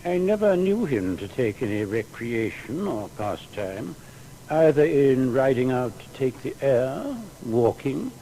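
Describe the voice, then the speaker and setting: A period gentleman reminiscing in an audio drama, with discursive, old-fashioned phrasing. His mellow voice rises and falls freely, with faint noise behind it. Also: mid-range voice, measured pace, poor audio. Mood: amused, reminiscing. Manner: discursive, archaic, expressive storytelling. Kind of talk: period radio drama monologue.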